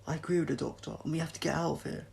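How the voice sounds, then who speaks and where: A man's voice: a little high, rapid-fire, creaky, a little mellow, a little hiss or hum, voice pushed up. A man reading the part of an earnest, questioning young woman, a loyal companion in a storybook, speaking directly. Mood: insistent.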